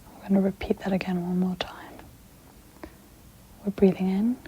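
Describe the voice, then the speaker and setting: A woman guiding an ASMR sleep meditation in a breathy, mostly whispered voice, soothing and gentle, with long pauses. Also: mid-range voice, little pitch movement, muffled recording, slight background noise.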